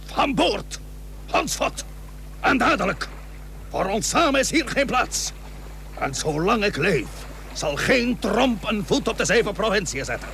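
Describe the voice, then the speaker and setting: A man's voice: high voice, sing-song, a little slow, gravelly, bright, forceful tone, full character voice. An actor playing a stern, proud admiral and cautious seaman in a historical radio play, speaking formally and emphatically. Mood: angry, commanding. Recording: old radio recording with faint hum.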